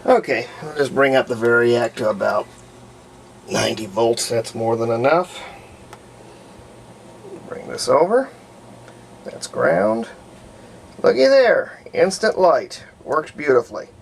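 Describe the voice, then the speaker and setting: A man's voice: mid-range voice, animated, takes it slowly, vocal fry, long pauses, slight background noise, poor audio. A man talking casually and unscripted in a folksy way as he demonstrates a hands-on repair at an indoor workbench. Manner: folksy, unscripted, narrating while working. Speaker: hobbyist radio restorer presenting a repair.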